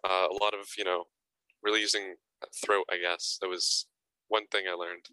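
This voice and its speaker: A man's voice: sits low, flat delivery, normal speed, pushed and bright, clear, resonant tone, dry recording, rough recording. A young man being interviewed as an actor guest, answering casually and hesitantly, often repeating words and adding fillers.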